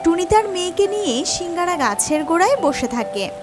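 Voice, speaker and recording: Very high-voiced woman narrating fairy tales. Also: very animated, fairly quick, music in the background. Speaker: storyteller narrator. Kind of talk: scripted children's fairy-tale narration.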